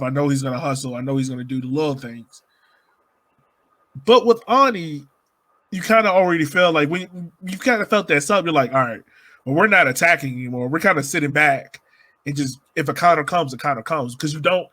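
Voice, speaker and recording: Bright, higher-voiced man talking soccer. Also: a little fast. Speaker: podcast co-host. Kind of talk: casual soccer analysis chat.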